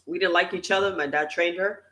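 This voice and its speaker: A woman's voice: fairly low pitch, fairly even intonation, fairly quick, fairly bright tone. A woman co-hosting a casual live talk show, candidly telling personal stories. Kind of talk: casual live-stream chat.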